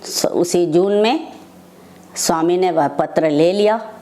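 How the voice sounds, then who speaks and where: An older woman, telling personal devotional stories as a devotee, in short phrases with pauses between them. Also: on the low side, mellow. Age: older, over 60. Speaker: devotee sharing personal testimony.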